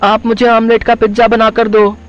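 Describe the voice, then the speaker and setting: A man's voice: high-pitched, monotone, normal speed, lo-fi sound, faint music behind, voice pushed up, close to an everyday voice. A man voicing a whining, insistent young boy in a cartoon story. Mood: whining, demanding. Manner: whiny, pleading, insistent. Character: whining young boy demanding pizza from his mother. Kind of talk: Hindi moral-story cartoon voice acting.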